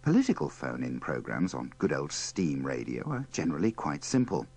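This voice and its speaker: A man's voice: a bass voice, huge pitch swings, normal speed, vocal fry, darkish tone, breathy edge. A man presenting in scripted, wry narration with a dry, tongue-in-cheek manner.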